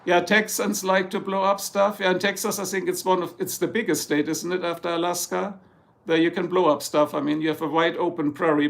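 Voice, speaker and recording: Man, German accent, livestream host, rambling joker, gravelly, slightly high pitch. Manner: rambling, jokey, slipping into German. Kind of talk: casual livestream chat reading comments. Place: home in Ohio, US.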